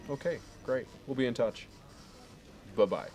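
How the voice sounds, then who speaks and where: A man playing a smug, fastidious investment banker in an ASMR roleplay, with smooth, measured, fussy sales talk. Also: mid-range voice, animated, darkish tone. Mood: chummy. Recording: clear background noise.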